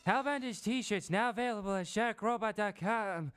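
A man's voice: high-pitched, a little slow. A man reading a promotional announcement. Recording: music behind the voice.

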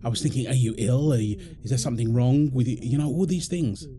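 A man's voice: mid-range voice, fast, dark tone, slight background noise, natural voice. A man playing a playful, doting husband in an intimate audio roleplay, teasing and affectionate, full of exclamations. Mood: worried, relieved. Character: playful, doting husband apologizing to his pregnant wife.

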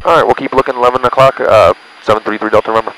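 Man, pilot, bright forceful voice, radio calls, cockpit headset, background hum. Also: fairly low pitch, steady tone, very fast, low-quality recording. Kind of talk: aviation radio calls and cockpit explanations to a passenger. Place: small propeller plane cockpit in flight. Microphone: aviation headset boom mic. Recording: headset intercom with engine and radio noise.

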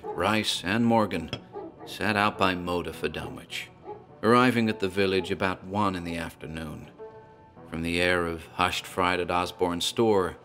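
A man's voice: low voice, a bit bright. A man narrating a horror tale in a theatrical, storytelling manner, as a tavern landlord.

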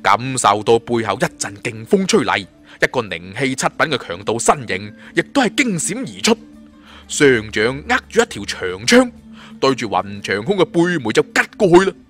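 Animated Cantonese male storyteller over music. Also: mid-range voice, normal speed. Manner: theatrical, colloquial. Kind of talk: dramatic audiobook narration of a martial fantasy tale. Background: background music.

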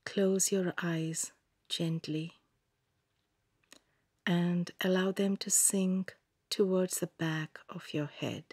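Slow, breathy woman guiding meditation. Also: fairly low pitch, no room sound, studio quality. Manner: calm, soothing, gentle instruction with long pauses. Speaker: yoga nidra meditation guide. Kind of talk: scripted guided meditation narration.

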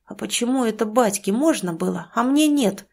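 A woman voicing a sulky, hoarse young boy. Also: fairly high pitch, expressive, normal speed, darkish tone.

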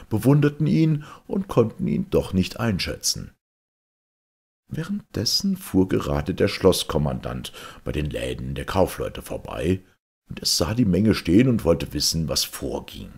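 A man reading a fairy tale aloud as a storyteller, expressive and dramatic, with a gravelly, husky voice. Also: sits low. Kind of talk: slow scripted fairy-tale narration.

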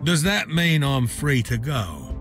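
A glib, roguish starship captain, animated. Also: male voice, medium pitch, slow pace, faint music behind. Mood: courteous, pleased. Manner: theatrical, glib, courteous. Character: wily, chatty male starship captain, roguish informant. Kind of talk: video game voice acting.

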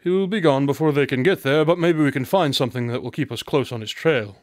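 A man's voice: on the high side, fast talker, no room sound, studio quality, natural voice. A man playing a composed, confident detective in a radio drama, with formal, precise wording.

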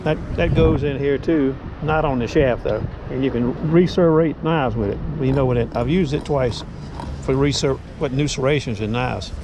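A man's voice: mid-range voice, normal speed. A man giving rambling, self-correcting casual commentary while walking a farmers market with a body-worn camera. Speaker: knife sharpener vlogging at a market. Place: outdoor farmers market parking lot. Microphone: body-worn action camera. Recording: loud outdoor street noise.

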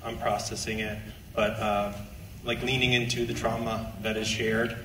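A man in his thirties, a screenwriter, giving an earnest, halting answer at a stage Q&A. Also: on the low side, little pitch movement. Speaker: screenwriter at a premiere Q&A. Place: large theatre stage. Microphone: handheld stage mic, picked up from afar. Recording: echoey hall, distant camera, background noise.

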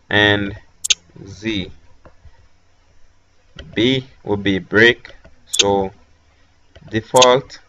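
Slow-talking Jamaican man, low voice. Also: a bit bright, lo-fi sound, a little hiss or hum. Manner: hesitant, step-by-step instructions with frequent "so" and "um". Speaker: tech tutorial host. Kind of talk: screen-recorded software tutorial.

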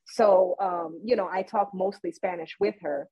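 A woman's voice: lowish voice, moderate pace, husky. A woman in her thirties from Puerto Rico hosting a podcast, chatting casually and telling stories with lots of laughter. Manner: laughing, animated storytelling. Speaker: podcast host. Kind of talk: casual chat. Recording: muffled lo-fi recording.

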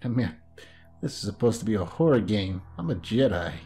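A man's voice: fairly low pitch, animated, fairly quick. A man giving casual gameplay commentary in a playful, offhand mutter with jokey asides. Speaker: gamer commenting on a horror game playthrough. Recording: voice over loud game soundtrack. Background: loud game music and sound.

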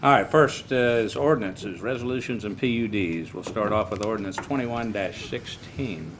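Low-voiced male mayor chairing a council meeting, measured delivery, steady background hum on the mic. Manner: measured, reads prepared text then chats loosely. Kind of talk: formal meeting chairing and reading a proclamation. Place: council chamber.

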